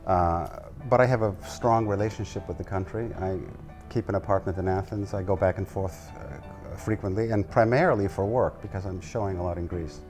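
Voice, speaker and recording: A man in his sixties from the United States, an artist telling his family story in an interview at his home, relaxed and wry. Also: sits low, fairly quick, faint music behind. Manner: relaxed, wry, self-deprecating. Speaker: guest artist.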